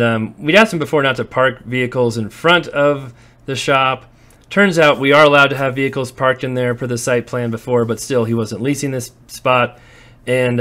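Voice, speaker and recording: A man's voice: medium pitch, a bit bright. Chatty man narrating a property walkthrough. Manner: chatty, storytelling, occasional laughs. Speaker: real estate investor and landlord. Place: walking through empty warehouses and outdoors.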